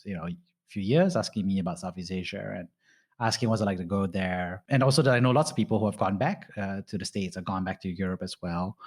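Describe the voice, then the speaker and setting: A man hosting a podcast talks in a fairly low voice. He rambles through casual advice and peppers it with "you know" and "right?". He sounds like he's in his thirties. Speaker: podcast host.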